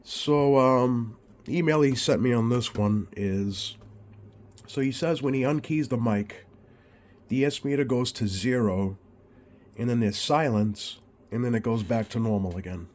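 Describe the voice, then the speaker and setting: A man with a slightly scratchy voice, getting over a cold, talks informally through a repair demonstration in a hesitant, unhurried way, thinking aloud. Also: medium pitch, a little mellow. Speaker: radio repair technician and video host. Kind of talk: informal bench demonstration vlog. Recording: clearly audible background noise.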